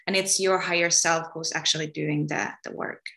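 A woman from Finland, a guest in an interview, talking about her path and often pausing to search for words. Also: low-pitched, fairly even intonation. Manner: soft-spoken, searching for words with many ums and restarts. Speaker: guest, energy healer. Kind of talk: relaxed spoken interview.

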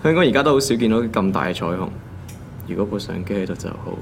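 An actor playing a young office worker who grumbles and answers back casually in a radio drama. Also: male voice, fairly low pitch, expressive, fast, creaky, a little hiss or hum. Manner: grumbling, casual, dry retorts. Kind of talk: Cantonese radio drama acting.

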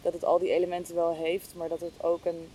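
Woman thinking out loud in a casual chat, kinda hesitant, mellow voice, rumbly noise behind her. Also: completely flat delivery, fairly quick. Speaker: interview guest, podcast hostess. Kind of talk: casual, reflective conversation. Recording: clear low rumbling background noise.

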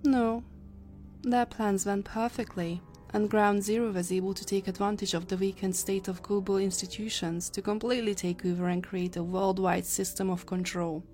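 A woman's voice: mid-range voice, flat delivery, moderate pace, a little mellow, soft background music, close to an everyday voice. A woman playing an earnest, grave time-travel scientist in an audio drama. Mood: grave explaining.

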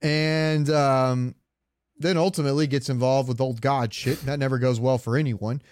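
A dry, close recording of a man with a mid-pitched voice co-hosting a podcast. He chats casually and rambles along with a fan's enthusiasm. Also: fairly even intonation, moderate pace, a little mellow, no echo. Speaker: podcast co-host. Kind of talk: casual chat about games.

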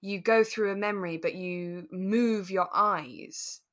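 A woman co-hosting a podcast, explaining things step by step in a relaxed, conversational way. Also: medium pitch, takes it slowly. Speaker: podcast co-host.

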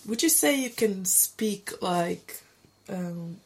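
A young woman with a slight Greek accent co-hosting a podcast, chatty and quick with reactions. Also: medium pitch, animated, a little mellow, slight background noise. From Greece. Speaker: podcast co-host. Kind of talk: casual chat.

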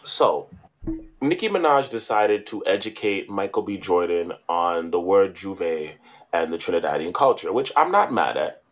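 A man's voice: low-pitched, lively intonation, moderate pace. A man co-hosting a casual chat, explaining at length and often adding "right?". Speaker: podcast co-host.

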